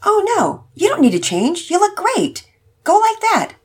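A woman's voice: very high-pitched, wildly swooping pitch, a little slow, pitched above the performer's own voice, heavily acted. Woman doing a hesitant, apologetic dad. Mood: friendly. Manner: hesitant, warm. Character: apologetic hesitant father, middle-aged.

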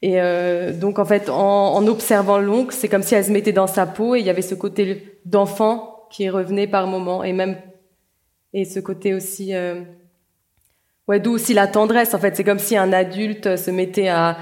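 A woman author speaks in a reflective interview, searching for her words as she goes. She hesitates often and restarts her sentences, pausing to think before each new idea. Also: medium pitch, steady tone, a little mellow. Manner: hesitant, many restarts and fillers. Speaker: novelist guest.

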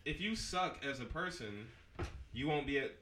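A man's voice: mid-range voice, lively intonation, normal speed, pushed and bright, roomy sound, slight background noise. A man chatting loosely with friends on a casual podcast, joking and projecting his voice. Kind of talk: casual chat among friends.

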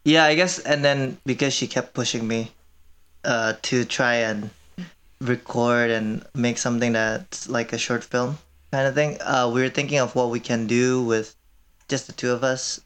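A man's voice: mid-range voice, steady tone, a little slow, fairly bright tone, clear, resonant tone. A man in his twenties from Indonesia, answering casually and tentatively as a student filmmaker in an interview. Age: 20s.

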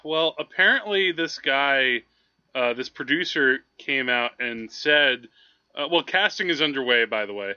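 A man with a very bright, forceful voice co-hosts a casual podcast. His delivery is animated and jokey, and he laughs readily. The audio is slightly dull and lo-fi. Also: medium pitch, unhurried, smooth. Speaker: podcast co-host. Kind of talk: casual movie chat.

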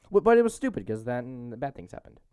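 A young man hosting a podcast, chatting casually through a movie review with animated recaps and informal asides. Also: mid-range voice, fast, clean tone, dry recording. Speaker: podcast host.

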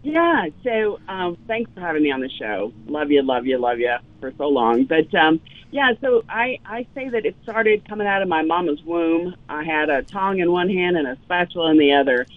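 A woman's voice: fairly low pitch, normal speed, no room sound. A woman, a chef telling stories as a guest in a casual interview, with many ums. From Louisiana, US South. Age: 50s. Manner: animated storyteller, frequent ums. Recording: tinny phone line, dry.

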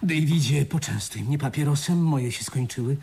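A man acting a fidgety, scheming young cousin in a Polish radio drama, excitable and repeating himself. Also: mid-range voice, moderate pace, gravelly, a little hiss or hum, lightly acted. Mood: wheedling. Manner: excitable, repetitive, jittery. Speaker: actor in a radio play.

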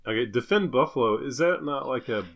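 A man co-hosting a podcast in casual banter, joking in a deadpan way. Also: medium pitch, a little fast, creaky. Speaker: podcast co-host.

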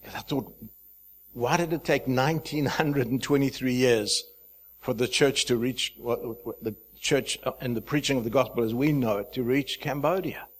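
A man in his fifties from Zimbabwe, a pastor speaking to a church, chatty and halting, with many fillers and restarts. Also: medium pitch. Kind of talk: sermon introduction.

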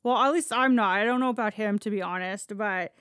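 A woman's voice: mid-range voice, fairly quick, a bit bright, no echo, clean studio sound. A Canadian woman telling stories casually on a podcast, candid and sweary, often acting out dialogue as she goes. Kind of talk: casual conversational storytelling.

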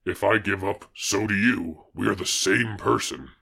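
Man doing a gravelly brooding swamp monster. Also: fairly low pitch, measured pace, sharp tone, pitched below the performer's own voice, full character voice. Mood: defiant. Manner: pleading, dramatic reading.

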